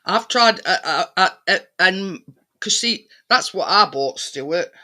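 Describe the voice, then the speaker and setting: A man in casual, rambling chat, often halting as he searches for words. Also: high voice, animated, sharp tone.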